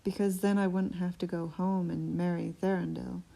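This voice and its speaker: A woman's voice: on the low side, level tone, normal speed, gravelly, mellow, poor audio. A woman voicing a headstrong young princess. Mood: insistent.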